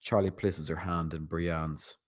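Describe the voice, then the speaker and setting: A man's voice: low voice, fairly even intonation, a little slow. A man narrating scene directions from a screenplay at a table read. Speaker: narrator reading stage directions.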